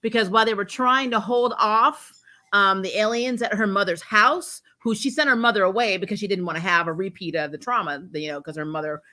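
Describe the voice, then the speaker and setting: Bright-voiced woman chatting through a recap. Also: medium pitch, a little fast. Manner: rambling, self-correcting, giggly, informal. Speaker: host of a TV show recap livestream. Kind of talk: casual chatty episode recap with acted-out quotes.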